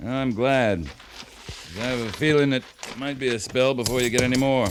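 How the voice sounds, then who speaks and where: Actor as steady cavalry captain, noisy old radio. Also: male voice, medium pitch, normal speed, natural voice. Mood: wry, relaxed. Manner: clipped, authoritative, dryly understated. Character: seasoned, commanding male cavalry captain, dry and steady. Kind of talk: 1950s radio western acting. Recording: noisy vintage 1950s radio recording.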